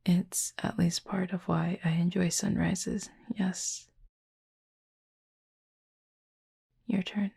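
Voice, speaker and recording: A woman playing a thoughtful, soft-spoken cupid roommate in an intimate audio roleplay, with a breathy, husky voice and measured, gently formal delivery. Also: on the low side, little pitch movement, no room sound, clean studio sound, full character voice. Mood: gently teasing.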